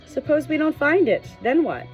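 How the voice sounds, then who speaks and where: A nervous, thin man who runs a village pub , as a woman audiobook reader puts him on. He chatters in colloquial, eager-to-please speech, pitched up. The sound is slightly dull, with music behind. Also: sing-song, normal speed. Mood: anxious. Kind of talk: audiobook character dialogue.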